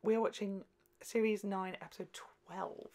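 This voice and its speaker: A man's voice: sits high, fairly even intonation, moderate pace, a crowd in the background. A young man hosting a reaction show in casual chat, recorded on a desk microphone at home. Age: young adult. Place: small furnished home room, indoors. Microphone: desk microphone in front of the pair.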